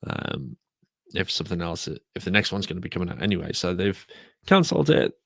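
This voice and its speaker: A British man hosting a solo podcast, talking casually and repeating himself, croaky from a sore throat. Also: deep voice, big pitch swings, fairly quick, fairly bright tone, dry recording, studio quality. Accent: British English. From United Kingdom. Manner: rambling, repeats words. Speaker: podcast host.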